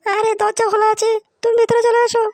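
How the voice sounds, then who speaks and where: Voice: very high-pitched, monotone, fast, no echo. A performer voicing a coy, pouting village woman in a comic cartoon, protesting flirtatiously in a whiny way over music. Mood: inviting. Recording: music behind the voice.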